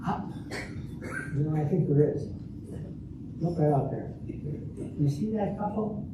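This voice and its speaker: A man's voice: on the high side, expressive, takes it slowly, highs cut off. An older man playing a stingy, stubborn old husband in a comic readers' theater sketch, repeating himself as he blusters. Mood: puzzled. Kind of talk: amateur readers' theater comedy. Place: live stage reading in a roomy hall. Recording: echoey room, distant mic, clear background noise.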